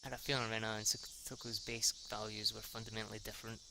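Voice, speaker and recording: A man reading a visual novel aloud in a halting, informal, sweary way, with casual asides. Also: on the low side, monotone, creaky, noisy background. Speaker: amateur reader of a visual novel.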